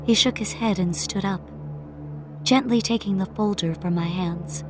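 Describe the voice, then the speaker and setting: A woman's voice: medium pitch, unhurried. A woman reading a first-person ghost story as scripted audiobook narration. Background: music score under the voice.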